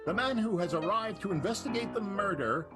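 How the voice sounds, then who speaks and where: A man's voice: high-pitched, animated, brisk. A man narrating and hosting an improvised radio soap in a wry, announcer-like way. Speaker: narrator and host of improv show. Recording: loud guitar music under the voice.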